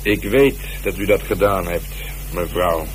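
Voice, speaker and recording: Low-voiced, dryly confident police detective. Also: male voice. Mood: coolly insistent. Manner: colloquial, measured, dryly ironic. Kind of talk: radio drama dialogue. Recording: noisy radio play recording, heavy background noise.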